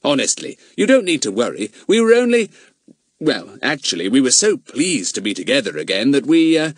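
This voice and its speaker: A man's voice: medium pitch, very animated, brisk, no echo, clean studio sound, voice pushed up. A man voicing a cheeky schoolboy who answers everyone with exaggerated politeness. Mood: cheeky polite.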